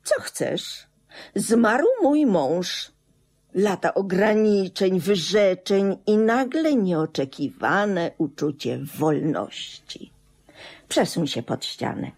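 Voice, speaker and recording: Radio play widow: nonchalant, theatrical mother, husky edge, unhurried delivery. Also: mid-range voice, very animated, a little mellow, heavily acted. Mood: brisk, detached. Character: cool, self-absorbed new widow, mother of a grown son. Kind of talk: Polish radio drama acting.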